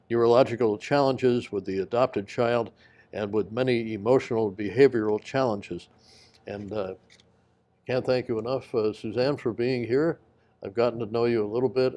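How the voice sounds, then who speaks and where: A man hosting an interview show, asking questions gently with hesitant pauses and filler words. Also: mid-range voice. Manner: gentle, hesitant, many ums. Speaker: talk show host.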